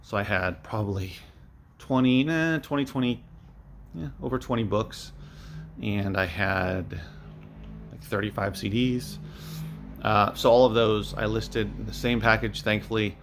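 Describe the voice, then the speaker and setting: A man with a fairly low voice talks through a casual vlog update. He rambles in an unscripted way, thinking aloud as he goes, like a small-time reseller filling viewers in. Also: a little slow, long pauses, fairly bright tone, slight background noise. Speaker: vlogger, online media reseller.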